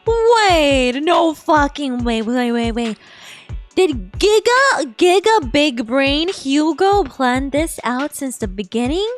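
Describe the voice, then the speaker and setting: A high-voiced woman game streamer with very lively intonation. She is playful and expressive, switching between reading game text aloud and reacting, with soft music behind her. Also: takes it slowly. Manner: playful, expressive, cutesy exclamations.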